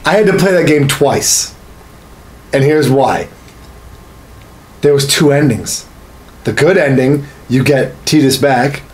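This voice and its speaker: A man's voice: medium pitch, slow, pauses often, a little mellow. A man in his thirties chatting casually about games as a podcast co-host, with a crude, joking, profane manner. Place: small home studio room.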